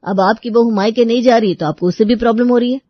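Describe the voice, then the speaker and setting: A woman's voice: fairly high pitch, fast, no echo, dull audio, close to an everyday voice. A woman voicing a fed-up, sarcastic young married son who complains, in an acted audio story. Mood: fed up, whining.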